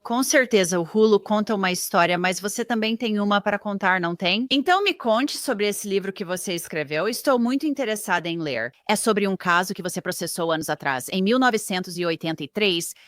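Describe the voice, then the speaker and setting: A woman hosting an interview, asking questions in a measured, conversational way. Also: medium pitch, expressive, a bit bright, dry recording, clean studio sound.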